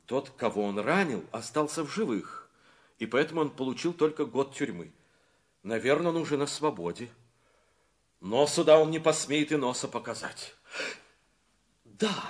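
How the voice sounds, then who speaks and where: A man's voice: medium pitch, animated, unhurried, pauses often, close to an everyday voice. A man acting an indignant, respectable workshop owner in a Russian audio play, speaking emphatically and repeating words.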